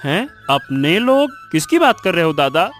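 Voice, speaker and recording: A man's voice: on the high side, big pitch swings, moderate pace, fairly bright tone, soft background music. A man voicing a concerned younger villager in a cartoon story, inquisitive and full of advice. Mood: advising. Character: concerned, consoling younger village acquaintance of a farmer. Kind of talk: Hindi cartoon story voice acting.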